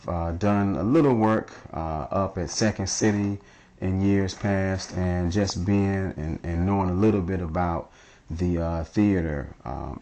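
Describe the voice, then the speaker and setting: A man hosting a casual interview, relaxed and chatty, who chuckles at his own jokes. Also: deep voice. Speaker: radio show host.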